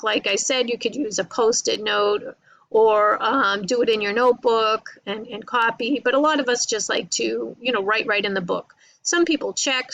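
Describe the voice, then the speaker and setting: Female college English lecturer, creaky voice, informal and explanatory, recorded close and dry. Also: a little high, pushed and bright, dry recording, low-quality recording. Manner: conversational, repeats points and checks with "right?" and "you know".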